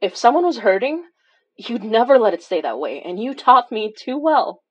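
A woman's voice: fairly high pitch, very animated, normal speed, highs cut off. A woman playing a wry, caring paranormal investigator who narrates a horror audio drama in an intimate, conversational way. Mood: tender. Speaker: lead character and narrator.

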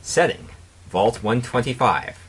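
A man presenting and reading fan fiction aloud in a deliberate, mock-dramatic way. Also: medium pitch, fairly bright tone, breathy edge. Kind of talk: casual intro and dramatic reading.

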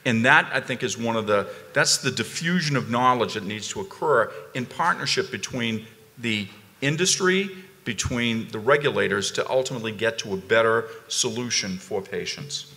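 A man speaking on a conference panel, emphatic and animated, repeating phrases as he builds a point. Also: medium pitch, moderate pace, in a large hall. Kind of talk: panel discussion. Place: conference ballroom stage.

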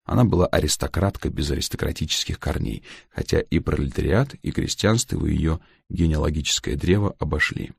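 A man reading a humorous literary story as an audiobook narrator, with a gravelly voice and wry, dry irony. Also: very deep voice, a little fast, few pauses, dry recording.

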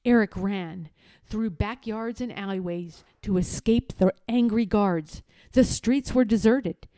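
A woman reading a children's story aloud in a deliberate, expressive, dramatic style. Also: on the high side, no echo. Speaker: storybook reader.

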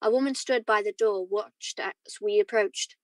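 A woman playing a wistful married man who recalls his youth in measured, reflective radio drama narration. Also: medium pitch, no echo, close to an everyday voice. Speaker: student actor.

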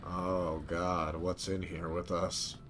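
A man's voice: low voice, flat delivery, takes it slowly, background noise. A man streaming a game with casual commentary, muttering to himself in short fragments. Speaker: gaming streamer. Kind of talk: casual let's-play commentary. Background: faint game music.

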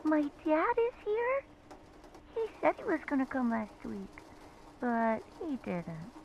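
A woman voicing a small, innocent, scared girl who talks haltingly in a video game. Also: sits high, big pitch swings, creaky, full character voice. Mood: innocent confusion. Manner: hesitant, halting. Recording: muffled phone call with faint noise.

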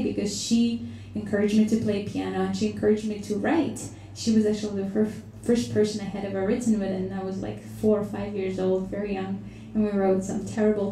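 A young woman from Russia, speaking softly and warmly as she introduces a song at a live studio session. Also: mid-range voice, a little hiss or hum. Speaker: singer-songwriter. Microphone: stand mic. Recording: roomy studio sound, mic slightly far.

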